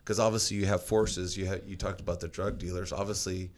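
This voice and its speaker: A man in casual conversation, telling stories in a loud voice, rambling with many restarts and fillers. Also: deep voice, little pitch movement, quick pace.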